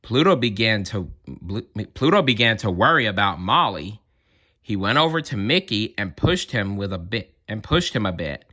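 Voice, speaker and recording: A man reading a children's story aloud in an expressive narrating style. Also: fairly low pitch, normal speed. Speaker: storybook reader.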